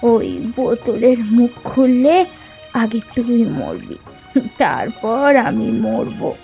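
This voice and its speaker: A woman voicing a scornful, defiant old village crone in a cartoon fairy tale, taunting and scolding in a creaky voice. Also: on the high side, expressive, takes it slowly, warm tone, full character voice. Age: older. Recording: background music under dialogue.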